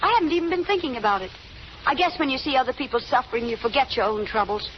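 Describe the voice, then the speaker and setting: An actress playing a shy, kind young working woman, hesitant and gently amused, in vintage radio drama style. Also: high-pitched, expressive, fast talker. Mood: earnest, subdued. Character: shy, kind young kitchen worker hiding from the law. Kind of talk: 1930s-40s radio drama acting. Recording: dull, noisy old radio broadcast.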